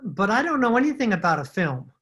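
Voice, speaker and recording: A husky, swooping voice for a nervous swindler in an old-time radio mystery acted by a woman. He is a man of fussy formal politeness who hesitates, stammers and dodges. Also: mid-range voice, very animated, fast talker. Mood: evasive, flustered. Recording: home recording over video call.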